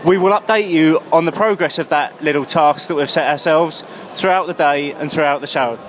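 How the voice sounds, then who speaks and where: A man's voice: a little high, normal speed, loud music behind the voice. A man co-hosting a podcast clip at an outdoor beer festival, upbeat and stammering. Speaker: podcast co-host. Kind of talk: casual chat.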